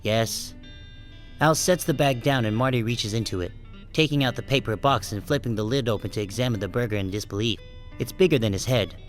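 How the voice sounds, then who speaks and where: A man's voice: mid-range voice, brisk, faint music behind, lightly acted. A man playing a wary, resentful sheep who narrates his own story in a wry, guarded audiobook style. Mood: wry, uneasy. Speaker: first-person narrator and protagonist. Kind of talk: scripted first-person audiobook narration.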